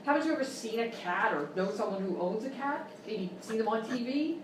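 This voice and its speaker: A woman's voice: on the high side, expressive, a little fast, natural voice. A woman playing an exasperated, condescending quiz show host in a live radio-style comedy sketch. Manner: incredulous, scolding, mock-polite. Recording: very echoey live room, far mic, noisy.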